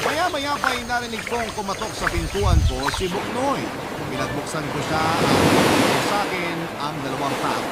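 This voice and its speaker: A man reading a listener's letter aloud in first person, in an earnest, confiding storytelling tone. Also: on the high side. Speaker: radio drama narrator reading a letter. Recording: loud background music.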